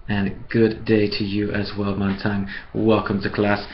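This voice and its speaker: Slow, clear American man teaching online. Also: on the low side, monotone, muffled recording, slight background noise. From USA. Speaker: English teacher.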